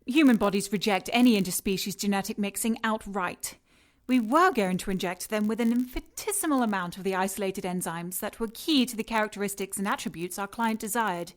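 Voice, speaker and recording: An actress playing a cold, seductive scientist in an audio drama, speaking with clinical precision and teasing condescension. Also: female voice, mid-range voice, brisk, natural voice. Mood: clinical, matter-of-fact. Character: cold, mocking, seductive female scientist in charge of experiments. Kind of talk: audio drama acting, sci-fi noir.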